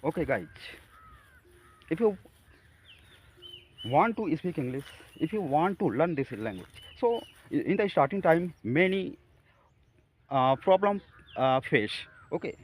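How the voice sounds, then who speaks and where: A man from India with an Indian accent, a Hindi speaker, talking haltingly to camera about practicing English, with many fillers and restarts. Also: fairly high pitch, big pitch swings, husky. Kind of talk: casual talk to camera.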